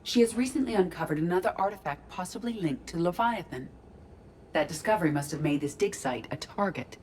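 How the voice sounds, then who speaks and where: A woman voicing a calm ship artificial intelligence in a video game, speaking in a measured, formal, analytical way. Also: on the low side, a little hiss or hum, natural voice. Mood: measured, matter-of-fact.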